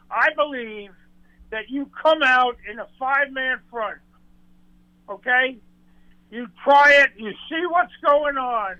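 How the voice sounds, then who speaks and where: A man's voice: extremely high pitch, pushed and bright. A man in his eighties calling in to a radio show, insistent and rambling as he makes his point. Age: 80s. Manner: insistent, rambling, frustrated fan. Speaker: caller. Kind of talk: radio call-in. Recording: tinny phone line.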